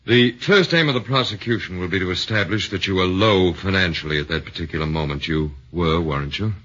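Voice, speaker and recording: An actor in a 1940s radio drama plays a defense lawyer, speaking in a deliberate, formal, probing way. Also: male voice, deep voice, fairly bright tone, husky, muffled recording. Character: measured middle-aged defense lawyer.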